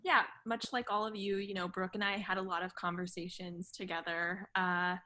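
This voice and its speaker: Bright-voiced woman, halting and casual. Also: on the low side, little pitch movement. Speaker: writer in a theatre collective. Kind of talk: casual chat.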